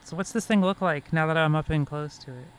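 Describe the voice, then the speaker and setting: A man's voice: on the high side, a little fast, clear, resonant tone. A man playing a tabletop game, improvising his character's lines in a casual, conversational way. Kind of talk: casual improvised role-play.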